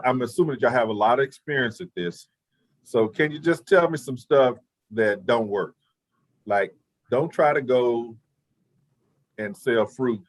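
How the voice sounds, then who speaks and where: A man with a drawl hosts a webinar over a video call, talking in a relaxed, folksy way. His voice is dry and close. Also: mid-range voice, no room sound. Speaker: webinar host. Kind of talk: casual chat.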